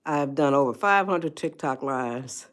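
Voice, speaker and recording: A woman in her eighties hosting a casual livestream, chatting slowly and greeting viewers by name. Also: a bass voice, animated. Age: 80s. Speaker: livestream host. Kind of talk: casual livestream advice talk.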